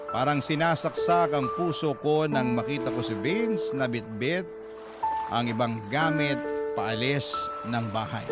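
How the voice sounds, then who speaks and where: A man with a Filipino accent narrating letters as a radio host, dramatic and emotive, with measured pauses. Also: mid-range voice. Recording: loud music bed behind.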